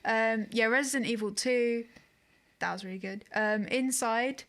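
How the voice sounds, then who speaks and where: A woman's voice: on the high side, unhurried, fairly bright tone. A woman co-hosting a video game podcast in an informal, conversational way. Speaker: podcast co-host. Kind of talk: casual podcast chat about video games.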